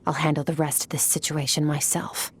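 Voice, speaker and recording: A woman's voice: sits low, flat delivery, fairly quick, slightly rough. A woman playing a stoic, battle-hardened, aloof female warrior in a fantasy game, terse and commanding. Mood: cool, explanatory. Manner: terse, matter-of-fact, commanding. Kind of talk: scripted fantasy game voice acting.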